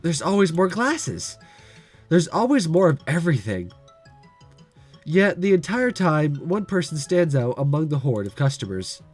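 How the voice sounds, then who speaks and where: A man reading a visual novel aloud, lively, clearing his throat now and then. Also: a little high, unhurried, soft background music. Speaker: let's-play reader.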